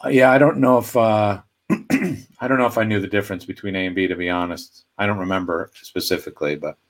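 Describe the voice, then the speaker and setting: A man recalling past work in a relaxed group chat, hesitant and rambling. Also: fairly low pitch, brisk. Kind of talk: casual group video chat.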